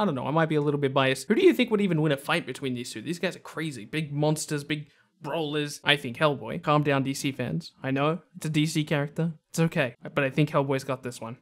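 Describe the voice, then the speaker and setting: A man's voice: a little high, fairly bright tone. A young man giving casual, slangy video game commentary as host, emphatic and enthusiastic. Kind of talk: casual top-ten commentary.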